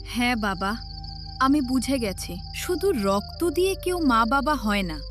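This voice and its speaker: A woman's voice: fairly high pitch, very animated, unhurried. A woman playing a devoted, brave teenage village girl in a cartoon story, with earnest, emotional delivery. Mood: earnest, pleading. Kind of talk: melodramatic cartoon story acting. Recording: loud music behind the voice.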